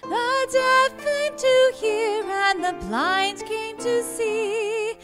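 A woman singing a hymn in slow, sustained lines. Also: very high voice, over loud music.

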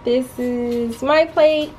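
A woman with children narrating a casual cooking video in her home kitchen, explaining each step in a relaxed, unhurried way. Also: high-pitched, a little hiss or hum. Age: adult with kids and a husband. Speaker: cooking vlogger.

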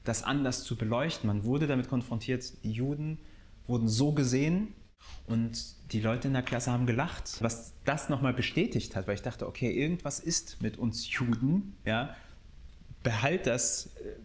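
A man's voice: medium pitch, moderate pace. A man giving colloquial, reflective personal testimony, restating phrases as he thinks aloud. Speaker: interviewee, actor.

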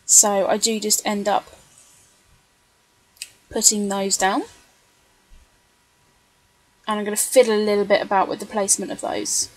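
A woman's voice: mid-range voice, flat delivery, unhurried, pauses often, no echo, poor audio. A woman giving a casual, chatty, rambling voice-over for a craft video. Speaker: scrapbooker narrating craft video.

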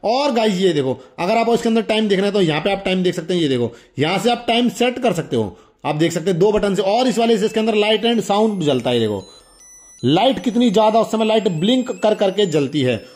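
A young man with a high voice talks casually to viewers as a toy review host. His delivery is enthusiastic and full of exclamations, and he tends to repeat his points as he demonstrates. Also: sing-song, fairly quick, darkish tone.

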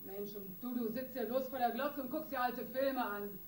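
A woman's voice: a little high, moderate pace, darkish tone, lightly acted. An actress playing a brash, nagging woman in a criminal gang in a radio crime drama, blunt and slangy. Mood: scolding. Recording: roomy, distant, noisy radio drama.

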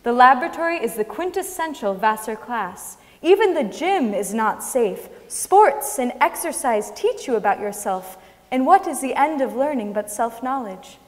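A woman's voice: on the high side, expressive, moderate pace. A woman giving a scripted dramatic reading on the stage of a large auditorium. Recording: long hall reverb.

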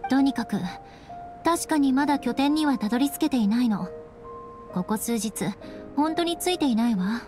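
A woman's voice: high-pitched, expressive, normal speed, music in the background. A woman playing a poised young female knight in an anime game, with a youthful, clean voice and composed, articulate delivery. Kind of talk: Japanese anime game voice acting.

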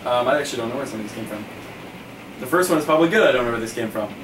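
A man off camera reading out fan questions in a dry, deadpan way. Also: medium pitch, rapid-fire. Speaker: interviewer. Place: small storeroom indoors. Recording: echoey room, distant mic, audible hiss.

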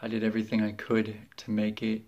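A man in his thirties from northern Minnesota, telling his story for a documentary in a halting, self-deprecating way, recorded on a webcam in a small room at home. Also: on the low side, completely flat delivery, a little mellow, highs cut off. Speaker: aspiring actor interviewee. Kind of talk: candid documentary testimony.

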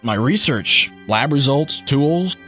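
An actor playing a guilt-ridden scientist in a radio play, speaking in an intense, halting, dramatic way. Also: male voice, mid-range voice, expressive, pushed and bright, soft background music. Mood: weary, remorseful. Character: guilt-ridden scientist brother turning into a vampire.

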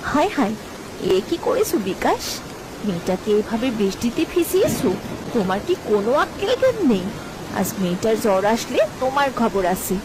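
A woman's voice: fairly high pitch, big pitch swings, brisk, background noise. A woman voicing a bossy but concerned village wife and mother in a cartoon, with an exclamatory way of talking. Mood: scolding. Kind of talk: Bengali cartoon voice acting.

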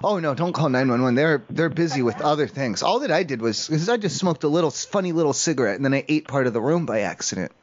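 A man making a prank call, rambling haltingly as a naive, stoned-sounding caller. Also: a little high, animated, a little hiss or hum. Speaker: prank caller, show host. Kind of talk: improvised prank phone call. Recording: muffled, dull lo-fi call audio.

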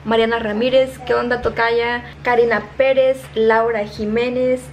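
A young woman with a Mexican accent, chatting casually as she reads out shout-outs to her viewers, filmed on a handheld phone in her kitchen. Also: on the high side, little pitch movement, slight background noise. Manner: chatty, reading names quickly, laughing at herself. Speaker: vlogger. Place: home kitchen, indoors. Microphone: phone held at arm's length.

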